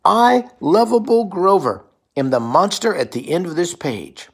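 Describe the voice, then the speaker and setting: A nervous, pleading furry monster from a picture book, put on by a parent reading aloud. The voice jumps high and swoops around, drawing each word out slowly with a breathy edge. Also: animated. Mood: sheepish. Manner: slow, drawn-out pleading.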